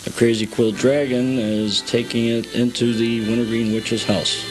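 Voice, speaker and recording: A man's voice: medium pitch, little pitch movement, unhurried, rough recording. A man guiding a tour as an interview guest, telling a story step by step in a matter-of-fact way. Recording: music playing behind the voice.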